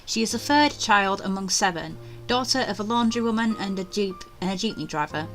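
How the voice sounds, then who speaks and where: A young woman with a bright, crisp-edged voice hosts a casual let's play. She moves between offhand commentary and reading game text aloud, with faint music underneath. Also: medium pitch, animated, brisk.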